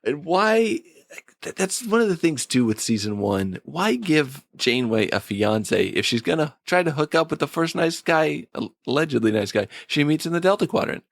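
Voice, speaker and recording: A man's voice: on the high side, sing-song, normal speed, vocal fry, dry recording, studio quality. A man co-hosting a podcast, casually picking apart a TV episode in a wry, critical way. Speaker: podcast co-host. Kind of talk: casual TV episode review chat.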